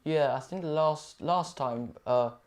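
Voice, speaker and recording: A man's voice: fairly high pitch, measured pace. Thirteen-year-old English boy, laid-back and brief. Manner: laid-back, brief replies with many fillers. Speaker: young pianist hosting his own livestream. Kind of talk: casual chat.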